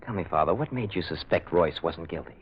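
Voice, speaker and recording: An actor playing a priest's curious, doubting companion in an old radio mystery, with a brisk, inquisitive manner. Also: male voice, sits low, animated. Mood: curious, puzzled. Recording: dull, noisy old radio recording.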